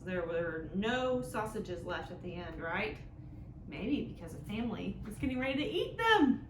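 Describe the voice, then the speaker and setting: A woman's voice: mid-range voice, big pitch swings, normal speed. A woman hosting an interactive storytime for young children, explaining things in simple phrases and often checking in with questions. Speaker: children's library storytime host. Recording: echoey room with clear background noise.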